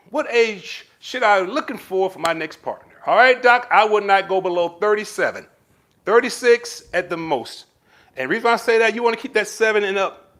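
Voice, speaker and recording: High-pitched guy on a livestream answering comments, super lively voice, calls folks baby. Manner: animated, addresses viewers with pet names like baby. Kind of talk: casual live Q&A giving relationship advice.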